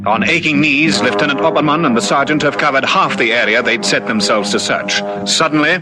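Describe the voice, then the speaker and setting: A man's voice: medium pitch, brisk, lightly acted. A man narrating a vintage radio detective serial in a solemn, scripted style. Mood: measured, solemn. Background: loud dramatic music.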